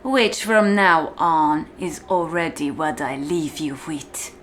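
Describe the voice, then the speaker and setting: A woman performing a cold, domineering female warden in an ASMR-style roleplay monologue, deliberate and condescending, speaking straight to the listener with hushed, whispery drops. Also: low voice, slight background noise. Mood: commanding.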